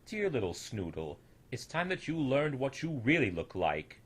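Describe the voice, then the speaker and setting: A man's voice: mid-range voice, sing-song, moderate pace, breathy edge. A man voicing a big, old, wise creator in a storybook, tender, solemn and fatherly.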